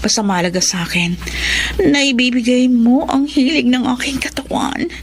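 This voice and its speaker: Sultry, flirty mistress, highish voice. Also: female voice, normal speed, slight background noise. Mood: flirtatious, contented. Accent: Filipino. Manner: sultry, flattering. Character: flirtatious, shameless, adoring mistress. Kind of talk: Filipino radio drama acting.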